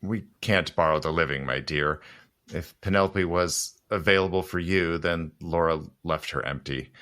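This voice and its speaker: A man playing a suave, teasing gentleman protector in a period audio drama, courtly and wry with old-fashioned phrasing. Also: low voice, normal speed. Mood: solemn, gentle. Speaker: actor.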